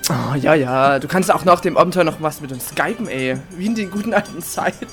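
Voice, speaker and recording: A man's voice: a little high, a little fast, fairly bright tone. A man playing a playful, theatrical young adventurer and secret superhero in an amateur audio comedy. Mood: bantering. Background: music.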